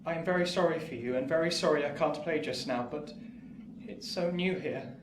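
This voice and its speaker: A woman's voice: low-pitched, moderate pace, natural voice. A woman acting a shy, polite young working-class boy in a radio play, speaking meekly and hesitantly. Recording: distant echoey room with noise.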